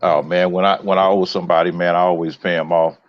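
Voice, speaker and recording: A man's voice: low voice, flat delivery, fast. An American man chatting casually as a live stream guest, in familiar, brotherly slang. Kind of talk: casual chat. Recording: dull lo-fi stream connection.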